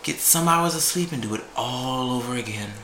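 A man's voice: mid-range voice, fairly quick, roomy sound, poor audio. A man in his twenties from South Carolina, a podcast guest chatting casually and playfully about his work and family. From South Carolina, USA. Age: 20s. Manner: animated, laughing, playful boasts. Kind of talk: casual chat.

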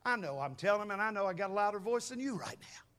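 A man's voice: high voice, lively intonation, fast talker, fairly bright tone. A man preaching a sermon, emphatic and calling on the congregation to answer back. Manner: emphatic, calls on the congregation to respond.

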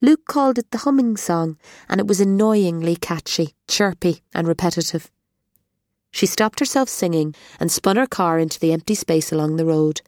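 A woman narrating an audiobook in a measured, expressive storytelling manner, recorded in a studio. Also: fairly low pitch, no room sound.

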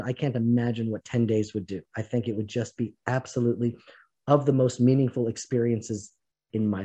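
A man's voice: mid-range voice, mellow, husky. A man hosting a live online fellowship, talking casually and rambling with lots of fillers. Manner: rambling, many "like" fillers, laughs easily. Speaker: fellowship host.

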